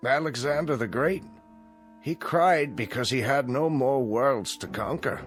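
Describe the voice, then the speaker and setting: An actor playing a deferential small-town night custodian in an audio drama, speaking politely and hesitantly. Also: male voice, mid-range voice, unhurried, faint music behind, lightly acted. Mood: meek.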